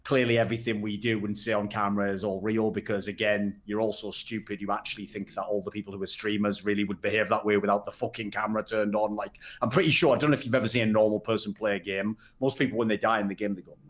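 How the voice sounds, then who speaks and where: A man's voice: on the low side, fairly even intonation, fast, few pauses. A man hosting a casual podcast, talking in a blunt, sarcastic way and swearing freely. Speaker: podcast host. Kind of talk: casual talk show hosting.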